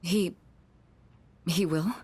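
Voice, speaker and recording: A woman's voice: fairly low pitch, fairly even intonation, very slow, a little mellow, husky, heavily acted. A woman playing a polite, composed, reserved teenage girl in a video game, formal and a little hesitant.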